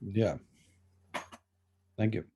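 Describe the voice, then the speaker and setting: He is a man, his voice low and warm. He talks in a thoughtful, scholarly way over a video call, circling back to restart words as he explains their roots. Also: very slow, gravelly, lo-fi sound, slight background noise. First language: Finnish. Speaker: presenter answering questions. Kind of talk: academic discussion.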